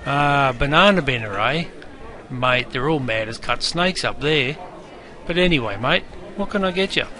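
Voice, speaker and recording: A man's voice: mid-range voice, animated, normal speed, sharp tone. A man playing a cheeky, matey barman in an interactive game, with a broad Australian accent and heavy slang. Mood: cheeky, welcoming. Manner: jokey, teasing, heavy slang. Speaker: bartender guide. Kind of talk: interactive game character patter. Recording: busy crowd noise behind. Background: crowd chatter.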